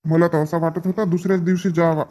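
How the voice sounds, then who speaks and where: A man's voice: a little high, level tone, fast talker, darkish tone, natural voice. A man voicing easygoing village farm men in a cartoon comedy. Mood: grumbling.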